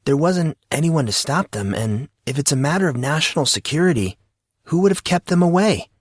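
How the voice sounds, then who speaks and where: A man's voice: on the high side, expressive, brisk, no room sound, clean studio sound, voice pushed up. A man voicing a troubled fourteen-year-old boy in an audiobook, earnest and full of questions. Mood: puzzled.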